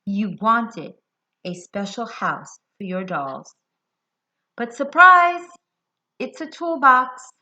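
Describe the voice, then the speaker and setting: An American woman reading a picture book aloud to young children, expressive and deliberate, putting questions to her listeners. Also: on the high side. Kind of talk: children's read-aloud storytelling. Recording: dull lo-fi sound.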